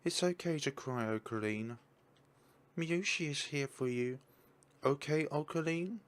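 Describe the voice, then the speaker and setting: A man voicing a gentle, caring young woman who soothes a friend in a soft, coaxing way. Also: a little high, unhurried, pitched above the performer's own voice, lightly acted. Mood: comforting.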